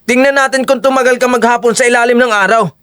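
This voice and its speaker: A man's voice: very high voice, fairly even intonation, quick pace, fairly bright tone, smooth, dry recording, studio quality. A man acting the young brothers and friend of a Filipino audio drama with emphatic, theatrical delivery. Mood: angry, confrontational.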